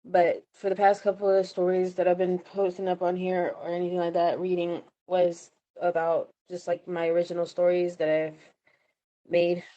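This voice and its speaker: Low-pitched, giggly woman chatting casually. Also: completely flat delivery, moderate pace, mellow, muffled recording. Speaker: podcast host and story writer. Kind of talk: casual chat.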